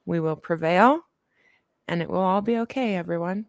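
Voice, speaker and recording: A woman co-hosting a podcast in casual, tired-sounding chat that is relaxed and full of laughter. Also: on the low side, moderate pace, dry recording, studio quality. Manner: laughs often, relaxed and chatty. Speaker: podcast co-host.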